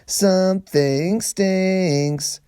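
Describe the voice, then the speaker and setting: A man voicing a mean, popular young schoolgirl in a storybook, taunting in a drawn-out sing-song. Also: high-pitched, mellow, clean tone, no echo, studio quality, pitched above the performer's own voice. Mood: mocking. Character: mean, popular thirteen-year-old school girl mocking a classmate.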